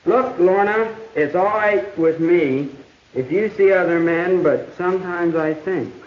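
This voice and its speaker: A young man playing a polite, hesitant high school boy reading at a play audition in a 1950s educational film. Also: measured pace. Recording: echoey, muffled, hissy 1950s film soundtrack.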